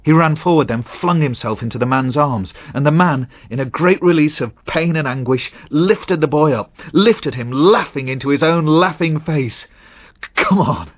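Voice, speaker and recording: A man with a husky voice reads a short story aloud in an expressive, suspenseful way. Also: a little high, normal speed, few pauses, dry recording. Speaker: audiobook narrator. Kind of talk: dramatic short story reading. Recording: dull, muffled audio.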